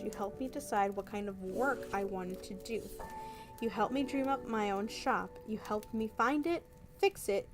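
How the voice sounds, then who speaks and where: A woman's voice: mid-range voice, natural voice. Woman reading as an earnest young man in love, music behind. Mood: earnest, grateful. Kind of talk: romance novel read aloud.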